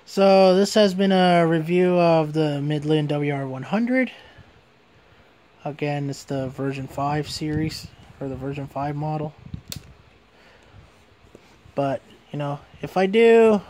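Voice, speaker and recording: A man with a fairly high voice presents a hands-on gadget review. He talks informally, like an everyday hobbyist video, and tends to restate his points as he goes. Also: darkish tone. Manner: informal, repeats and rephrases himself. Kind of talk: casual hands-on product review.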